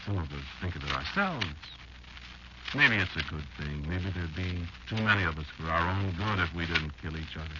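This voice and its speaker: An actor playing a bitter, elderly insect lecturing a child in a slow, portentous old-time radio drama monologue. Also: male voice, deep voice, animated. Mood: grave, bitter. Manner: slow, deliberate, portentous storytelling with dramatic pauses. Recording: muffled, noisy old radio show recording.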